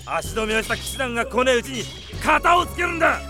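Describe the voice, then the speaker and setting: An actor playing a brutish, hot-tempered, menacing bandit chief who talks in rough, coarse shouts in an anime-style audio drama. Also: male voice, extremely high pitch, lively intonation, fast talker, music in the background. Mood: barking orders.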